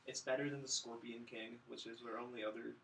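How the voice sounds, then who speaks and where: A man's voice: medium pitch, little pitch movement, fairly quick, vocal fry, fairly bright tone, far from the mic, a little hiss or hum, low-quality recording. A man chatting casually as a film review panelist, with a blunt, joking manner. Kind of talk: casual chat.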